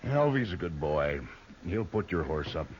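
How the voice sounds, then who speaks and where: An old, dull radio recording with faint noise. a domineering frontier outlaw, low and gruff, who gives orders with folksy menace in 1950s western style. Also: male voice, big pitch swings, normal speed. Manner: gruff, commanding, folksy threats.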